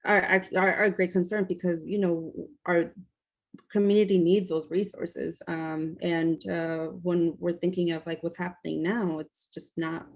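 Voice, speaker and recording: Gravelly low-voiced woman panelist. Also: flat delivery, unhurried, highs cut off. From Washington, D.C. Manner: hesitant, many fillers and restarts. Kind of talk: panel discussion, advocating.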